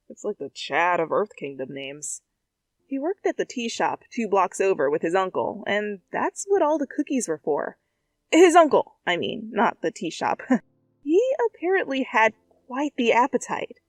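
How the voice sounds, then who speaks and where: A woman reading fan fiction aloud in an expressive, comedic style, with dramatic and conversational asides, close to the mic. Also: mid-range voice, dry recording. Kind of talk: expressive, comedic story narration. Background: faint background music.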